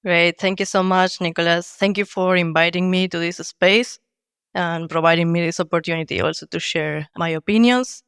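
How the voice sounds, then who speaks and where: Argentine woman, design lead guest, explanatory and clear, dry close sound. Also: lowish voice, steady tone, moderate pace, a bit bright, dry recording. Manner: explanatory, many fillers like "like". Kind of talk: podcast interview answers.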